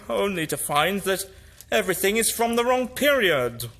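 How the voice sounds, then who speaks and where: A man's voice: high voice, big pitch swings, moderate pace, a bit bright, heavily acted. An actor playing a polite, flustered, eccentric male adventurer in an audio drama, fussy and courteous in his protests.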